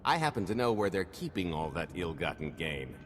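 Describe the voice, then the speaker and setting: An actor playing a sly, smooth-talking alien thief in a video game, with breezy salesman patter. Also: sits low, big pitch swings, fairly quick, soft background music. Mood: confident pitch. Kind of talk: video game cutscene acting. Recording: echoing hall, background hum.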